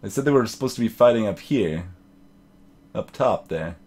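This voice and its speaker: A man's voice: fairly low pitch, lively intonation, normal speed, a little mellow. A man giving casual game commentary and reading dialogue aloud, musing and repeating his phrases as he thinks. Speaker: let's play host.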